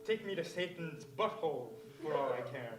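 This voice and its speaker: A man's voice: a little high, animated, moderate pace, natural voice. Man playing a laid-back dim driver. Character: dim, easygoing man who took someone away. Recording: distant, echoey, with guitar.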